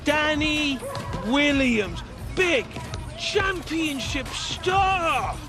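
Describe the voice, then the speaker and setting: An actor playing a cheeky, sarcastic young British footballer in a video game cutscene, with music behind him. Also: male voice, very high-pitched, lively intonation, extremely slow, full character voice.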